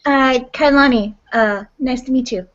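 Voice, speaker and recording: A woman's voice: high-pitched, normal speed, a bit bright. A woman speaking casually in character in a tabletop roleplaying game, polite and a little hesitant. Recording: dull, lo-fi audio.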